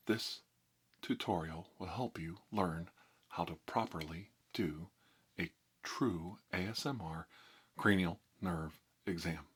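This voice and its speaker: Low-pitched deadpan man giving mock ASMR tutorial. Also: breathy edge. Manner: slow, deliberate, deadpan mock-doctor delivery. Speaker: ASMR video host.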